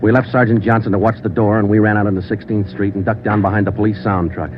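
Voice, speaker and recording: A man's voice: lowish voice, fast talker, natural voice. A man playing a laconic American homicide sergeant, narrating a 1940s radio crime drama in a clipped, matter-of-fact way. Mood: deadpan. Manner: deadpan, terse, matter-of-fact. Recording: dull 1940s radio recording, music behind.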